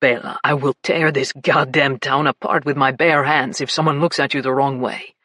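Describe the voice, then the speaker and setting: A woman voicing a commanding, possessive grown man who speaks as a low, coaxing murmur. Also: fairly even intonation, moderate pace, fairly bright tone, no echo, studio quality. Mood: fierce.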